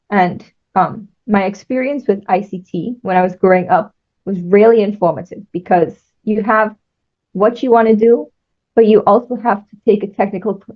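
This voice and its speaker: Young woman, lo-fi sound. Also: mid-range voice. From Trinidad. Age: young adult. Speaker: engineering student guest. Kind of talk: interview answers.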